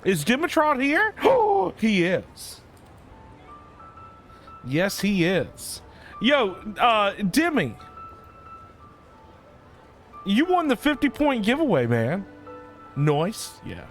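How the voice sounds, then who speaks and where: A man streams a game and talks casually to his chat. His voice is high for a man and swings up and down a lot. He goes slowly and haltingly, repeating himself, with game music behind him. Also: wildly swooping pitch, fairly bright tone. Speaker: game livestreamer.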